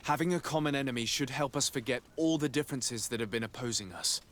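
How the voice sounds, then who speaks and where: A man playing an earnest, courteous noble diplomat in a video game cutscene, speaking formally and in measured phrases. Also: mid-range voice, a bit bright, slight background noise, lightly acted. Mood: grave, urgent. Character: earnest, courteous young noble diplomat, burdened by family betrayal.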